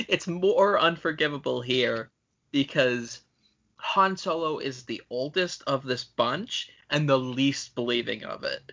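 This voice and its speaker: Bright, creaky-voiced man podcasting. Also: medium pitch, slow pace. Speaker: podcast co-host. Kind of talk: casual movie discussion. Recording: dull lo-fi podcast audio.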